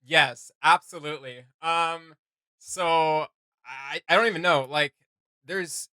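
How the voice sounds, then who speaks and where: A man's voice: on the high side, slow pace, pushed and bright, clean tone, dry recording. A man giving casual reaction commentary as a video host, searching for his words as he goes.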